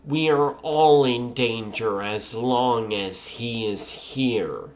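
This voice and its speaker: A man's voice: medium pitch, very slow. A man voicing a cool, clinical female scientist in a comic dub, precise, polite and detached. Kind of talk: comic dub character acting.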